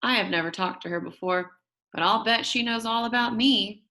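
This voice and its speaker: A woman's voice: fairly high pitch, moderate pace, bright, forceful tone. A woman reads a children's story aloud, telling it expressively and acting out the characters' lines. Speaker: audiobook reader.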